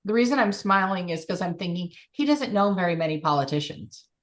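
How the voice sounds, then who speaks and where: A woman's voice: on the low side, animated, a little fast. A woman coach leading a group call, speaking in an encouraging, emphatic way.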